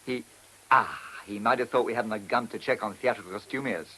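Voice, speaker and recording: A man's voice: on the low side, fairly quick, bright, forceful tone, husky. A man with a British accent playing an eager, smug junior police detective in a radio drama, deferential yet cocky. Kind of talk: mid-century British radio drama acting. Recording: old radio recording with faint hiss.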